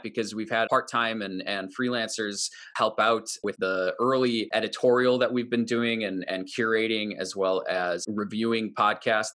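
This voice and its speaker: A man's voice: medium pitch, bright, forceful tone. A man hosting a conversational panel interview, putting questions to his colleagues. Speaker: podcast host and team member.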